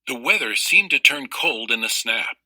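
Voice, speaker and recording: A man reading a children's story aloud as narrator, with careful, expressive, explanatory delivery. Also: medium pitch, moderate pace, no echo.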